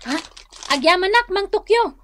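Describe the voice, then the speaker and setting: A woman's voice: extremely high pitch, expressive, moderate pace, a bit bright, a little hiss or hum. An actress playing an earnest young village girl in an Ilocano radio drama. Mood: polite.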